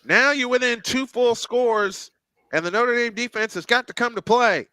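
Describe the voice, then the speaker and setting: A man's voice: extremely high pitch, normal speed, pushed and bright, dry recording. A man hosting a live stream, calling plays as a fan commentator and reading viewer comments aloud. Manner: calls plays and reads viewer chat aloud.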